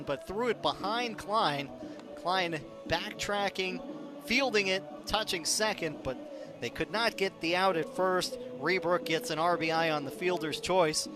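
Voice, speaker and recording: Man, very high pitch, live radio baseball play-by-play, sports announcer, music in background.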